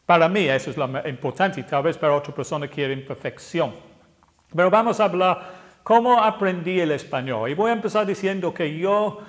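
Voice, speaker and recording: A man in his sixties from England, an English teacher with an English accent, talking casually to camera, often pausing and correcting himself. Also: a little high. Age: 60s. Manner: hesitant, self-correcting, plain-spoken.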